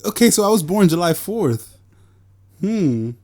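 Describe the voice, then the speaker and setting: A man's voice: high voice, sing-song, a little slow, mellow. A man in his twenties with a New York accent, co-hosting a casual podcast chat, joking loosely and swearing freely. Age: 20s. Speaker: podcast co-host.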